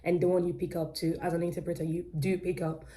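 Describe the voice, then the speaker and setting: A young Nigerian woman with a British-leaning accent talks in a loose, rambling way, often pausing on ums and correcting herself mid-sentence. Her voice sits a little low and sounds mellow and warm. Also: little pitch movement. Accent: Nigerian with British-influenced English. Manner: rambling and talkative, many ums and self-corrections. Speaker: crochet vlogger. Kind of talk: casual chatty vlog.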